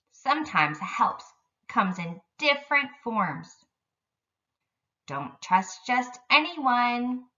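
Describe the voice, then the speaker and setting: A woman teaches young children in careful, simple phrases at an unhurried pace. Her delivery swings up and down in pitch. The recording is a little dull, with the mic at some distance. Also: bright, forceful tone. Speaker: elementary school teacher. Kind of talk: instructional video lesson for young children.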